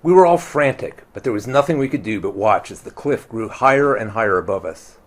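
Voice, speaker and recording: A man's voice: fairly low pitch, lively intonation, brisk. A man reading a novel aloud as its narrator, in a scripted storytelling style.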